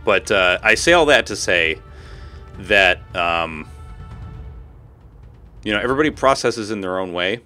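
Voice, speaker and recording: Deep-voiced man chatting on a game stream. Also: lively intonation, measured pace, long pauses, sharp tone. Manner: informal, slangy, frequent swearing. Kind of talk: casual livestream chat while gaming. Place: home room. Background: music.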